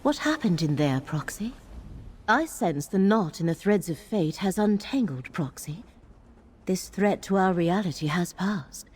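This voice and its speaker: A woman's voice: on the low side, animated, a little slow, a little mellow. An actress playing a grave female scholar in a fantasy game, speaking formally and precisely with composure. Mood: concerned, inquiring.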